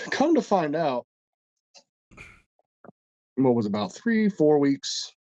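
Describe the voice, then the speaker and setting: A man's voice: fairly high pitch, big pitch swings, takes it slowly, gravelly, a little mellow, no echo, highs cut off. A man co-hosting a casual podcast, stuffed up and congested, telling a long story in a rambling, profane way with many restarts. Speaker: podcast co-host.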